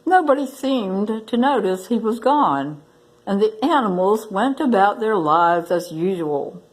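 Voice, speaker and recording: Female children's story reader. Measured pace, animated intonation, expressive read-aloud style. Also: medium pitch, rough recording. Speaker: library staff story reader.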